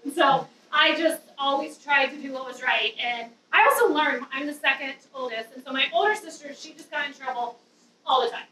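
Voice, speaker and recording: A woman in her thirties nervously sharing a personal testimony to a youth group, with a loud voice and many ums. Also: sits high, fairly quick, a little hiss or hum. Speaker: guest speaker giving a testimony. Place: dim church youth room with an audience. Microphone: handheld mic, far camera. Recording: roomy hall sound from a distance.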